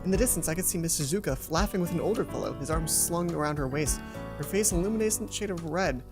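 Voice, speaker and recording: Female game streamer, low register, reads story text with offhand asides, music clearly behind. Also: expressive, fast. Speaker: let's play host. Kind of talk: reading game narration aloud with casual asides. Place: closed hot room at home.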